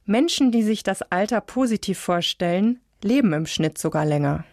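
A woman reading a scripted science narration for radio in a measured, clear way. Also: medium pitch, animated.

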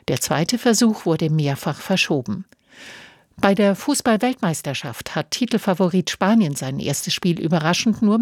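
A woman reading the radio news in a measured, neutral way. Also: lowish voice, darkish tone, no room sound, clean studio sound. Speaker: newsreader.

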